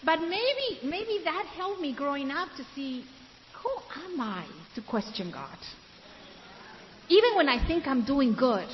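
Slow, high-voiced woman preaching. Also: big pitch swings. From Ecuador. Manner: asks the audience questions and answers them; slows to stress key words. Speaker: women's ministry speaker. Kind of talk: sermon. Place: room with an audience. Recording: dull, hissy recording in a reverberant hall.

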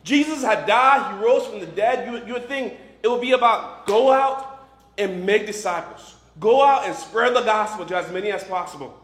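High-voiced young man preaching in an echoey room. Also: animated, moderate pace, fairly bright tone. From Liberia, moved to Boston at thirteen. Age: 20s or 30s. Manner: conversational, joking, peppered with "you know" and "right". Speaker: campus minister, guest preacher. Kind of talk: informal sermon to a live congregation. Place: large room before a congregation, some ambient noise. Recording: echoey room, faint background noise.

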